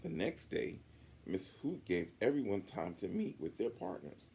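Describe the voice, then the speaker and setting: A man reading a picture book aloud to children in an animated way, with chatty asides. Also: on the low side, a little slow, creaky, a little hiss or hum. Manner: chatty asides to young listeners.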